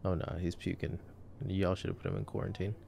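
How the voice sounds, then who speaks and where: A man's voice: low voice, level tone, fairly quick, vocal fry, warm tone, slight background noise. A young man giving casual, joking, intimate movie-watching commentary in a boyfriend sleep-aid roleplay, full of slang. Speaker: ASMR roleplay voice actor.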